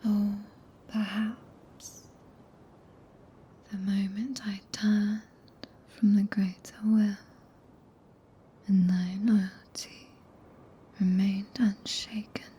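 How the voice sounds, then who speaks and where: A woman with a British accent voicing a tender, possessive, regal witch in a breathy ASMR roleplay whisper, speaking archaic English in a soothing, commanding manner, close to a binaural microphone. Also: medium pitch, level tone, drawn-out words, pauses often, mellow, exaggerated voice. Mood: teasing, intimate.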